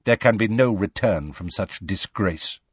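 A man voicing a proud, stern male centaur in a storybook, speaking in a formal, measured and calmly menacing way. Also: fairly low pitch, breathy edge, no room sound, muffled recording. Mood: quiet disapproval.

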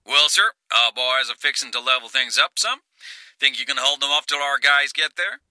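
A man's voice: mid-range voice, moderate pace, sharp tone, dry recording, pitched above the performer's own voice, poor audio. A man voicing a stern, humorless American Marine colonel with a strong, twangy Texan accent in an audiobook thriller. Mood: dry, steady.

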